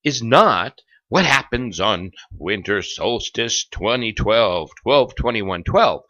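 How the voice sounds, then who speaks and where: A man narrating a lecture in a theatrical, suspenseful documentary style, pausing for effect between phrases. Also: lowish voice, lively intonation, bright, forceful tone, highs cut off. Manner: theatrical, with rhetorical questions and suspenseful pauses.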